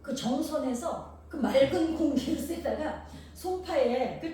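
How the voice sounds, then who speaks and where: A woman's voice: high voice, unhurried, warm tone, recorded from a distance, a little hiss or hum. Korean woman preaching in an echoey hall. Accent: Jeolla-tinged Korean. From Gangwon Province, South Korea. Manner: poses rhetorical questions to the congregation; homely, anecdotal storytelling. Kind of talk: sermon.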